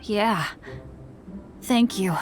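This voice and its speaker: A woman voicing a gentle, apologetic teenage boy adventurer in a video game, with a hesitant manner. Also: mid-range voice, drawn-out words. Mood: unsure. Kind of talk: animated video game voice acting. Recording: music behind the voice.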